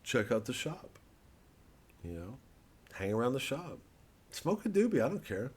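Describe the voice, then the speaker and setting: A man's voice: medium pitch, very animated, measured pace, husky. A man giving a rambling, casual solo monologue as a comedian plugging his work, irreverent, digressive and profane. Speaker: comedian promoting his show and shop.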